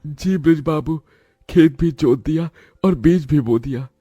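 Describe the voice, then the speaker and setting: A man's voice: fairly high pitch, a little slow, mellow, slightly rough, no echo, highs cut off. Man playing a sorrowful poor old farmer father. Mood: humble pleading. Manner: plaintive, lamenting, humble. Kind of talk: Hindi cartoon moral story acting.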